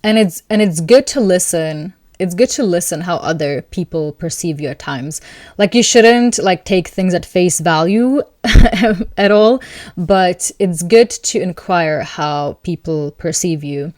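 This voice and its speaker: A woman hosting an astrology video, talking calmly and thoughtfully to camera, with soft laughs between thoughts. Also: on the low side, measured pace, no echo, studio quality. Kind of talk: casual spoken reflection to camera.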